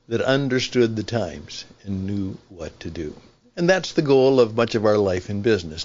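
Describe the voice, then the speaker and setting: An older man, over sixty, hosting a solo radio-style podcast as a veteran broadcaster, talking conversationally in deliberate, emphatic phrases and often rewording as he goes. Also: mid-range voice, highs cut off. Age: 60s or older.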